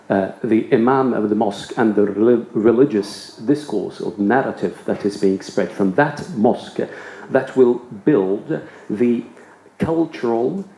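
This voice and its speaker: A man interpreting live at a conference, delivering his words haltingly, phrase by phrase. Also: lowish voice, vocal fry, warm tone. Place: indoor conference. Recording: roomy sound with faint background noise.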